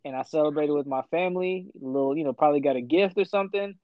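A man in his twenties, born in Ethiopia, chatting casually as a podcast guest, slangy and quick to laugh. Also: a little high, no echo, lo-fi sound. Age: 20s. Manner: relaxed, slangy, laughs often. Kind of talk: casual chat.

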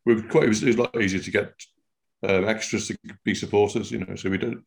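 An older man with a Scouse accent, a TV writer guest recalling past work in a relaxed, anecdotal way with frequent "you know". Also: fairly low pitch, quick pace, creaky, slightly rough. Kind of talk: casual interview.

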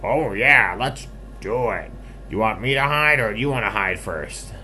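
A man's voice: on the low side, animated, moderate pace, sharp tone, a little hiss or hum, pitched above the performer's own voice. A man voicing a good-natured, agreeable little farm pig in a bedtime story for children, hearty and easygoing. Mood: glad.

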